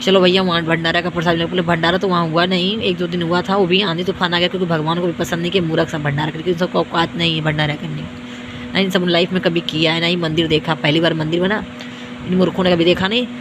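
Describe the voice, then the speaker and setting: Hoarse young woman ranting, flat voice. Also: lowish voice, fast talker, noisy background. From India. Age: young adult. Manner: repetitive, boastful, scolding. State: tired. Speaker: vlogger. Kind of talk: self-filmed rant to viewers.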